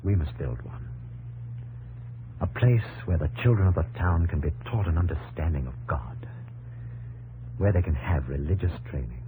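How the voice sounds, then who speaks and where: An actor playing an earnest, determined industrial pioneer and devoted husband in a 1950s radio drama. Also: male voice, low voice, moderate pace, pauses often, phone-quality sound, natural voice. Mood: tender, hushed. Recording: old radio broadcast with faint hum.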